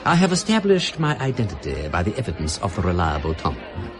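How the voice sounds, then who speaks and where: An actor playing an urbane, wry English aristocrat in a radio drama, with a pompous, flowery upper-class English manner of speech. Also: male voice, sits low, wildly swooping pitch, a little fast, music in the background. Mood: lofty, wry. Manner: pompous, flowery, drawling mock-courtesy. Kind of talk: British radio drama acting.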